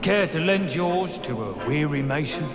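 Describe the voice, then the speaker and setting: A man's voice: fairly high pitch, animated, moderate pace, lightly acted. A man playing a weary old dwarf stonemason who speaks formally and courteously in a fantasy game. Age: older. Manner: formal, musing, polite. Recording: echoey cavern with music behind.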